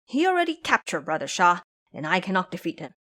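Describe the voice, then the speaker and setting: A woman's voice: fairly low pitch, very animated, fast, pushed and bright. A woman voicing a cowardly, lazy male pig-man who blusters and complains in an audio drama. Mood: defeatist, grumbling. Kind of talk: audio drama character acting.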